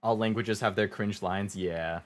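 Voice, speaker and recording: A man's voice: fairly low pitch, steady tone, unhurried. A man giving casual, conversational commentary as he streams a video game, musing as he goes. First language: English. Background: game music and dialogue.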